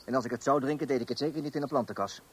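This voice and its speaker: An actor playing a fussy, self-assured middle-aged husband in a Dutch radio play, with a Netherlands accent and hesitant, repetitive phrasing. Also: male voice, mid-range voice, racing, slight background noise, natural voice. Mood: indignant, puzzled. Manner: self-assured, hesitant little ehs and repeated words. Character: fussy, indignant middle-class husband guarding his property.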